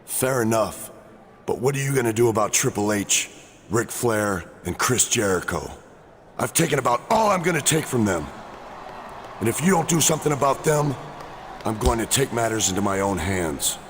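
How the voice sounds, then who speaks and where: A man voicing a gruff, defiant wrestler in a video game cutscene, blunt and confrontational. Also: medium pitch, expressive, normal speed, natural voice. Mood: irritated, threatening. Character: gruff, defiant male wrestler fed up with rivals. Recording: faint background noise.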